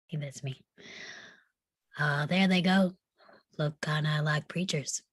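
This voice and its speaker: A woman's voice: deep voice, fairly even intonation, slow pace, dry recording, studio quality. A woman playing a plain-talking Old West townsperson in a screenplay table read. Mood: wry.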